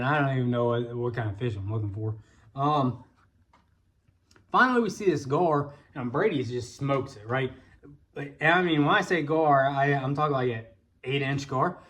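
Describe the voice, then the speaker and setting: An American man with a folksy, colloquial way of talking, telling a casual personal story as a vlog host. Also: medium pitch. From Indiana, United States. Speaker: vlog host, bowfishing guide.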